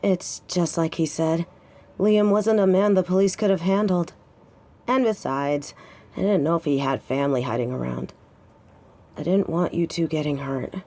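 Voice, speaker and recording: A woman's voice: sits low, normal speed, warm tone. A woman playing a tough, wry young biker who plays things down, in an audio drama. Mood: earnest. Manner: wry, downplaying.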